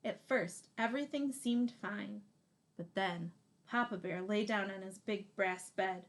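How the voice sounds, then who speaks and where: A woman in her thirties reads a children's picture book aloud expressively, leaning deliberately on key words. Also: medium pitch. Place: small room indoors.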